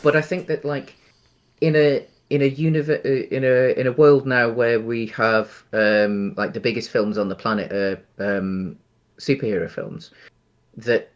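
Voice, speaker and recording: A man's voice: mid-range voice, steady tone, a little slow. A man co-hosting a casual film discussion, with a tentative, rambling way of talking. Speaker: podcast co-host.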